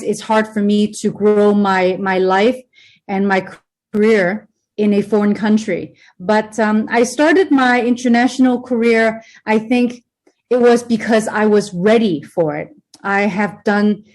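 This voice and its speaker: A woman from Hong Kong who grew up in the US talks as a podcast guest, speaking into her home computer. Her voice sits at a middle pitch, and she chats loosely with many fillers and restarts. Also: darkish tone. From Hong Kong, raised in the US. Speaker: guest, presenter and communication coach. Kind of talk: casual interview talk. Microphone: home computer on a video call.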